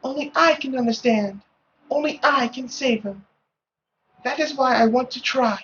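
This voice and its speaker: A man voicing a timid, gentle young woman, a devoted daughter, soft and halting. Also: very high voice, dull audio, a little hiss or hum, voice pushed up. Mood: earnest, resolved.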